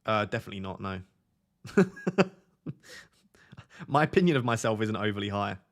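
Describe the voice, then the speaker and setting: A young man hosting a live stream, chatting casually with his viewers in a flat, monotone, self-deprecating way. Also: fairly low pitch, normal speed. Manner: self-deprecating, chatty, reads out chat messages. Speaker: livestream host.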